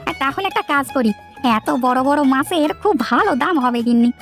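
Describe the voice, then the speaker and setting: A woman's voice: high voice, expressive, brisk, fairly bright tone, soft background music. A woman voicing an anxious married village farmer in a children's cartoon, exclaiming and lamenting in homely colloquial speech. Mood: eager. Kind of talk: children's moral-story cartoon dubbing.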